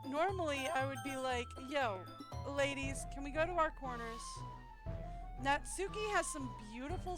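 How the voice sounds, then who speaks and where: A woman with a high voice streams a game over prominent music. She reads dialogue aloud at a slow pace, breaking off for casual commentary. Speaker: livestreamer playing a visual novel. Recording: loud music behind the voice.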